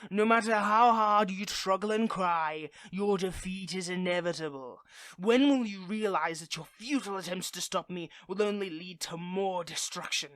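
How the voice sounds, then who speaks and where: A performer voicing a theatrical supervillain who is tender with a partner, in an intimate audio roleplay that moves between softness and grandiose menace. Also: mid-range voice, moderate pace, no room sound, natural voice. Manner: switches between soft affection and grandiose menace.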